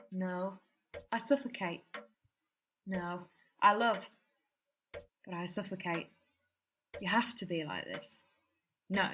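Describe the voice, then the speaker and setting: A woman's voice: mid-range voice, fairly bright tone. Woman acting anguished young woman, faint noise. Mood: conflicted. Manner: poetic, dramatic, repetitive phrasing. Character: suffocated, anguished young woman reciting an inner monologue. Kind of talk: radio drama monologue.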